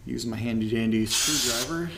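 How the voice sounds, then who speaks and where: A man's voice: medium pitch, vocal fry, fairly bright tone, slight background noise, low-quality recording. A man playing an uneasy homeowner in a found-footage horror audio drama, talking casually and hesitantly as if keeping a spoken diary. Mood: wary, puzzled. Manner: hesitant, halting, casual muttering to listeners. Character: uneasy man documenting his new house, casual, nervous.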